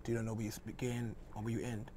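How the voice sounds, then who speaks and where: A man's voice: lowish voice, flat delivery, very fast, mellow, slight background noise. A middle-aged man hosting a casual studio talk show, repeating and rephrasing himself as he goes. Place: small TV studio with curtains. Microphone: lapel mic.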